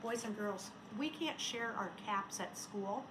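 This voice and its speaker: A woman, a schoolteacher, talking and reading aloud to young children in simple, expressive phrases. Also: medium pitch, a little slow. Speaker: elementary school teacher. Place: indoors at home. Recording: roomy home recording, faint noise.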